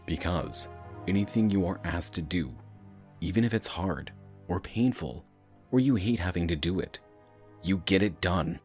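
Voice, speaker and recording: A man playing an earnest, steady hero in an animated fan dub, speaking in a measured, sincere and encouraging way. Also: low-pitched, soft background music. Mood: earnest, reassuring. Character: deep-voiced male fire hero, earnest and steady teammate.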